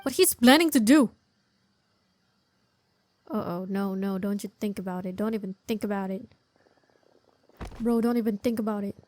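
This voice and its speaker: A woman's voice: medium pitch, normal speed, pauses often, a little mellow, no room sound. A woman giving casual gameplay commentary as she plays and reacts.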